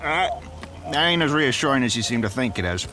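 An actor playing a brash, grumbling 1930s street news vendor in a noir audio drama, slangy and wisecracking. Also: male voice, mid-range voice, sing-song, brisk, natural voice. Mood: needling, skeptical. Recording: noisy city street ambience.

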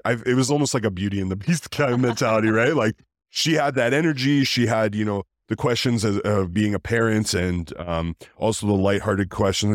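A man who hosts a podcast, talking casually in a deep voice, rambling with fillers and restarts. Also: no room sound, studio quality. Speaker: podcast host. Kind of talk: casual interview chat.

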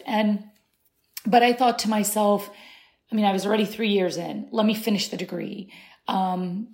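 A woman's voice: medium pitch, creaky, a little mellow. A woman in her thirties, born in Syria, speaking as an interview guest in a thoughtful way with many restarts. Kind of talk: conversational interview.

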